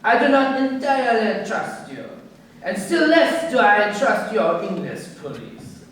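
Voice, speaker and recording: An actor playing a wary, menacing foreign informer in a radio crime play, speaking formally and deliberately. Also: male voice, high voice, sing-song, fairly bright tone, slight background noise, full character voice. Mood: wary, commanding. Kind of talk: radio crime drama acting. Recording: very echoey, distant-sounding room recording.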